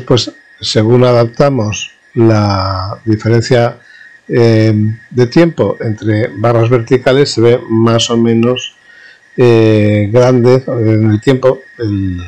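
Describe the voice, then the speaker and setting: Male instructor, medium-pitched voice, informal hands-on tech demo, explanatory, stop-and-start delivery with self-corrections. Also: a little mellow. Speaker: radio communications instructor. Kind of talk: informal hands-on technical demonstration narration.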